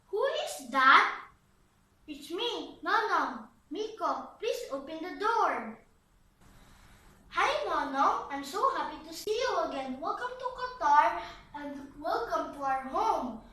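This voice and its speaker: A woman's voice: extremely high pitch, expressive, takes it slowly, sharp tone, full character voice. A woman voicing earnest, polite young boy puppets with careful, recited delivery in a scripted puppet show. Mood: excited, welcoming. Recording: roomy echo, slightly distant mic.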